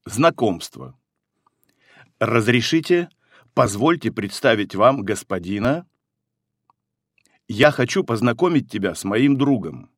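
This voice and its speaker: A man reading phrases for a language course, one by one with pauses, in a careful, clearly enunciated delivery. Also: mid-range voice, sing-song, dry recording, clean studio sound. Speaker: phrasebook reader.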